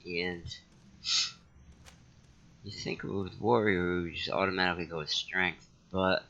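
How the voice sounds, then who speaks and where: A man's voice: low-pitched, fairly even intonation, unhurried, pushed and bright, lo-fi sound. A man hosting a casual let's play, musing and thinking aloud as he goes. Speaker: gaming channel host.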